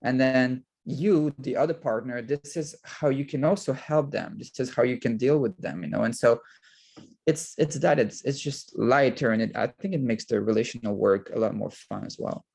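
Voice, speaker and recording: A man talking casually and animatedly, acting out quoted dialogue, with a gravelly voice. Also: mid-range voice, moderate pace, a little mellow. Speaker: co-host of a live talk. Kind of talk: casual conversational talk.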